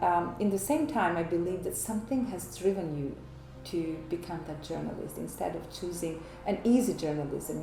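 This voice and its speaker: A woman hosting an interview in a guest's flat, chatty and asking long, rambling questions. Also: fairly low pitch. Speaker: interview host. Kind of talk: casual interview conversation. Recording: echoey room with faint noise.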